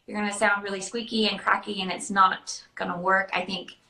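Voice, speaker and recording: A woman's voice: medium pitch, flat delivery, fairly quick, bright, forceful tone, echoey room, low-quality recording. A woman hosting a casual live chat, explaining things informally as she answers viewer questions. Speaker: children's party princess performer and live-stream host.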